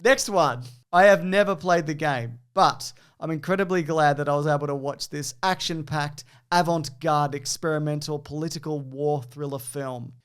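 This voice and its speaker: A man's voice: a little high, fairly even intonation, normal speed, hardly any pauses, clear, resonant tone. A man hosting a casual quiz, bantering and explaining as he reads out film reviews. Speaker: quiz host.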